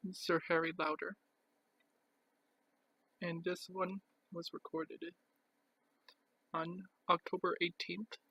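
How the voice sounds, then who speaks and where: High-pitched, monotone young-sounding male voice, gravelly and husky. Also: low-quality recording. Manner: halting, piecemeal delivery with pauses. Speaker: record collector presenting his collection. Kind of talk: casual show-and-tell narration.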